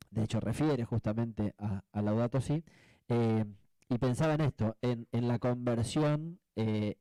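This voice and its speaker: A man with an Argentine accent speaking off the cuff as a panelist, reflective and halting, often adding tag questions. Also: mid-range voice, mellow, no room sound, low-quality recording. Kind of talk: spontaneous panel discussion answer.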